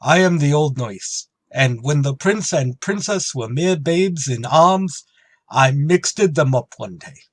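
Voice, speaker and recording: A man's voice: a little high, expressive, takes it slowly, no echo. An actor playing a dim-witted male bar regular in an old-time radio comedy, bumbling through his lines. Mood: confused.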